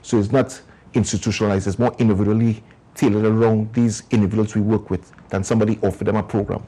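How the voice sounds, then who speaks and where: Trinidadian man, TV interview guest, low husky voice, earnest and long-winded. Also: level tone, quick pace, warm tone. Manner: earnest, explains at length. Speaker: community organization founder.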